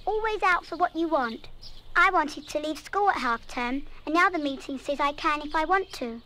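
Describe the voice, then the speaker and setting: A stubborn english schoolgirl in a radio play, really high voice, bit of background noise. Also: animated, moderate pace, sharp tone. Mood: indignant, resolute. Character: headstrong, impulsive, warm-hearted young schoolgirl. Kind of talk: full-cast audio drama acting.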